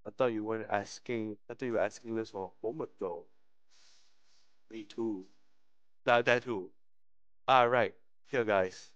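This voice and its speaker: A man's voice: on the low side, fairly even intonation, a little slow, pauses often, a bit bright, dry recording, lo-fi sound. A man live-streaming a visual novel, reading it aloud with slangy commentary and frequent stumbles over the text. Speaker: game streamer.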